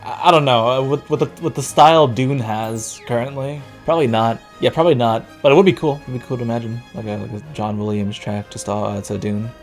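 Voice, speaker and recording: A man casually reviewing movies, rambling and correcting himself, his voice worn and going hoarse. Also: mid-range voice, fairly even intonation, brisk, few pauses, faint music behind. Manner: rambling, self-correcting, laughs often. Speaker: YouTube reviewer.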